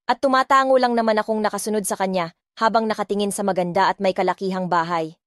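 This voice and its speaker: A woman's voice: mid-range voice, fairly even intonation, fast, clean tone, no room sound, clean studio sound. A woman with a Filipino accent reading dramatic first-person scripted narration as an audiobook narrator. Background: soft music.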